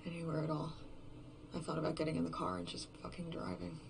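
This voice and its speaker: A despairing lone survivor, played by an actor in a horror audio drama, with a gravelly fry in a mid-pitched voice. The delivery is halting, brooding and confessional, sinking toward whispers. A faint layer of noise sits behind the bitter monologue. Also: very animated. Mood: hopeless, drained. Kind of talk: first-person horror fiction podcast monologue.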